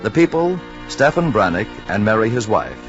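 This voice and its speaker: A man's voice: on the low side, big pitch swings, moderate pace. A man announcing a 1940s radio program in a formal, emphatic, scripted style. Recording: dull vintage radio recording, loud music.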